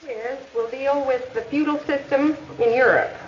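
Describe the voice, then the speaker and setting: Woman acting as strict American schoolteacher, high-pitched, firm and formal, echoey old classroom film soundtrack. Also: fairly even intonation, moderate pace, close to an everyday voice. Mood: instructing, patient. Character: strict, weary female high school teacher. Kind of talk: 1950s educational film acting. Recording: muffled, echoey, noisy 1950s film soundtrack.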